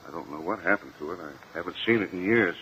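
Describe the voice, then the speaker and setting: An actor playing an uneasy, evasive businessman, halting and defensive, in a 1950 radio courtroom drama. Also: low-pitched, pushed and bright. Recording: muffled, hissy old radio recording.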